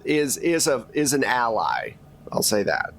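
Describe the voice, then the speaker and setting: A man narrates a tabletop role-playing game as its game master. His voice sits at an average pitch and his delivery is conversational and teasing. A little noise runs behind him. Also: very animated, a little slow, vocal fry, fairly bright tone.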